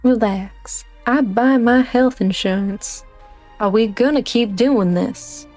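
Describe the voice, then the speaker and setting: Actress as tough female director, higher-pitched, mellow warm tone, dry, commanding, game story, faint music. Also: slow pace, dry recording. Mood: wry, confrontational. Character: brisk sardonic female director, tough fighter. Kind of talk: fan dub game story acting.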